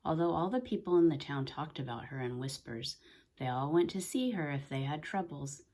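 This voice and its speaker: Female storybook reader: low, breathy-husky voice, deliberate and expressive children's read-aloud delivery.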